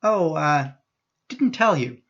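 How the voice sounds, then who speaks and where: Harried radio-drama dad woman performer: deliberate pace, expressive swings in pitch, dull recording. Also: exaggerated voice. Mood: placating, hesitant. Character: harried, placating middle-aged husband and exasperated father. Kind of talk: radio play acting.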